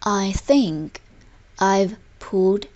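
Female language-lesson narrator, medium pitch, very slow scripted read with careful word-by-word enunciation. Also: darkish tone, dull audio. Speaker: language teacher.

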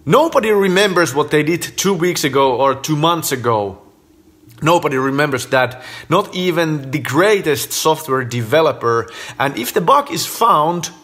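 A man in his thirties with a Finnish accent, hosting a casual vlog and making emphatic points to a phone camera in his living room. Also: a little high. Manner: animated, emphatic, gesturing with fingers while counting points. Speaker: host. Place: living room at home, indoors. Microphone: phone camera at arm's length.

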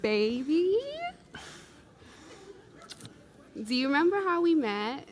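A woman's voice: high voice, lively intonation, moderate pace, slight background noise. A young woman playing an eager, doting girlfriend in a stage comedy, recorded from a distance. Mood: coy, expectant. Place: indoor theatre stage, far camera.

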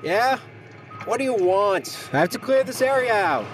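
A man's voice: high-pitched, animated, moderate pace, soft background music. A man playing a defensive, irritated working man in an audio drama. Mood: annoyed.

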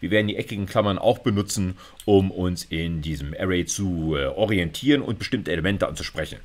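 A man's voice: low voice, brisk. A middle-aged man giving an informal explanatory lecture, talking conversationally with frequent little check-in tags. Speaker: university lecturer.

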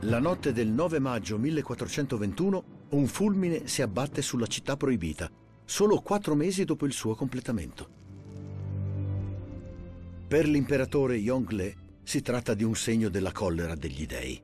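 A man's voice: medium pitch, big pitch swings, normal speed, a little mellow, faint music behind. A man reading scripted documentary narration.

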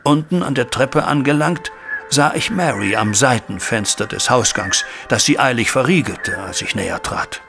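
An actor playing a troubled middle-aged banker, a widowed father, recounting events formally and deliberately in a German audio drama. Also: mid-range voice, expressive, creaky. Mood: grave, agitated. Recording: music bed behind voice.

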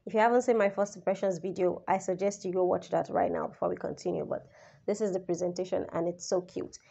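A young woman reviewing a perfume in a casual vlog, slightly raspy as she recovers from the flu, talking chattily and straight to her viewers. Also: fairly low pitch, quick pace, muffled recording. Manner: chatty and expressive, addresses viewers directly. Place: indoors, small room, seated. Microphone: camera in front, head-and-shoulders framing.

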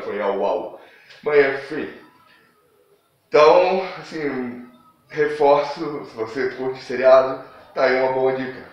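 Male Brazilian vlogger, slangy and self-deprecating, dull lo-fi sound with heavy room echo. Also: medium pitch. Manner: informal, self-deprecating, rambling. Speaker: YouTube channel host. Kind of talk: casual opinion vlog, slangy. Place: small dim room at home, indoors.

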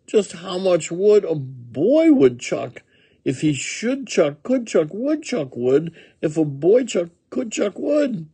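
A fussy, absent-minded woodchuck from a storybook, put on by a man. The voice is pitched far above normal and moves about freely, fretting and musing. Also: big pitch swings, takes it slowly, creaky, dark tone, breathy edge, heavily acted. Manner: fretful, musing. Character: fussy, moaning, absent-minded male woodchuck lost in arithmetic.

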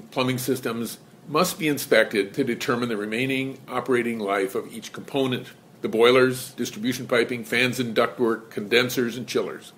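A man presenting an educational lesson in measured, scripted narration. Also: medium pitch, a bit bright, a little hiss or hum. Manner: measured, explanatory.

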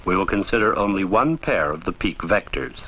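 Deep-voiced male film narrator, dull old soundtrack. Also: animated, vocal fry. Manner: formal, measured, didactic. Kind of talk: scripted instructional narration.

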